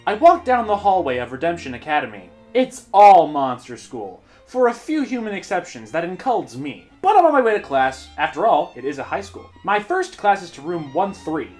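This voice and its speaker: A man reading terrible fanfiction in a mock-serious, over-the-top dramatic voice, mocking the text as he goes and breaking into sudden shouts. His pitch swings widely, and faint music plays underneath. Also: sing-song, normal speed, few pauses. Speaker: channel host reading fanfiction.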